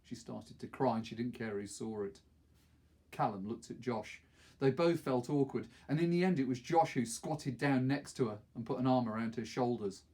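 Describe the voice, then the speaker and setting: A middle-aged man reads a novel aloud as a teacher would, in expressive storybook narration. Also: mid-range voice, normal speed, husky. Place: small wood-panelled study, indoors.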